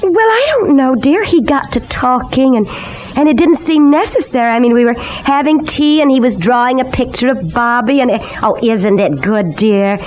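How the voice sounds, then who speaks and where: Gentle housewife, high-pitched, unflappable, hesitant, old radio soap, hiss behind. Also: female voice, animated, normal speed, rough recording. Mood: mildly defensive. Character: dreamy, trusting housewife and mother, lightly unflappable. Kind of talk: old-time radio soap opera acting. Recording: hissy vintage radio drama recording.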